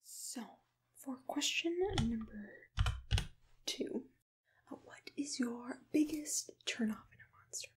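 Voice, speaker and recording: A woman whispers softly and gently in an ASMR roleplay, asking questions in an attentive, breathy voice close to the microphone. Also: on the high side, slow. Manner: soft-spoken, gentle, attentive personal tone. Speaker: ASMR roleplayer playing a monster matchmaker. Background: computer keyboard typing.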